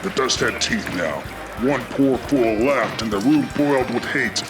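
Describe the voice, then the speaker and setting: An actor playing a grim, hard-boiled dragon detective, narrating a noir radio play in terse, brooding lines. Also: male voice, medium pitch, unhurried, natural voice. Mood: brooding narration. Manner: terse, brooding, menacing delivery. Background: loud music.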